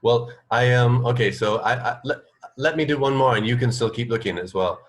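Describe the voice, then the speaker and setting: A man co-hosting a casual radio chat, explaining things in a halting, rambling way with repeated phrases. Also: medium pitch. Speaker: radio co-host.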